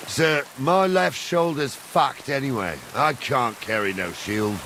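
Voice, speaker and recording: An actor playing a weary, gruff ageing medieval soldier with a gravelly voice, grumbling but deferential, in a video game. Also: male voice, medium pitch, a little slow, background noise, lightly acted. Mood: resigned. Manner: plain-spoken, deferential grumbling.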